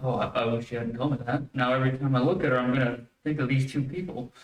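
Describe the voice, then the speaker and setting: A man's voice: mid-range voice, fairly even intonation, fast talker, natural voice. A man playing an exasperated, coaxing father in old-time radio comedy, with a placating, flustered manner. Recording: echoey, distant, faintly noisy stage stream.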